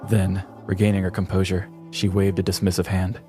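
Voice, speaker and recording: A man narrating a scripted true-crime comedy podcast in a theatrical, deliberate storytelling manner. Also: deep voice, level tone, music in the background.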